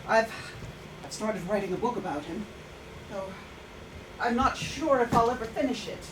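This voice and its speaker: Actress as halting, haunted woman, roomy and distant. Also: fairly high pitch, animated, noisy background, lightly acted. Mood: haunted, emphatic. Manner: halting, with repeated words. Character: haunted, hesitant woman, former nurse, writing her memories. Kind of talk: staged play reading, reflective monologue. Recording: roomy, distant mic, music behind.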